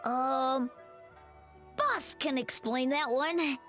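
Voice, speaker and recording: A woman's voice: high-pitched, very slow, a bit bright, faint music behind, exaggerated voice. A woman playing a puzzled, hesitant young child in a video game.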